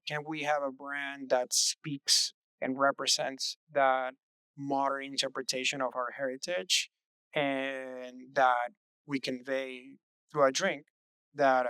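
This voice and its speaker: Earnest young Venezuelan-born founder, crisp voice. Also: male voice, mid-range voice, bright, forceful tone, dry recording, rough recording. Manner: earnest, reflective, frequent "uh" fillers. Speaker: startup co-founder, interview guest. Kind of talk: relaxed interview answers.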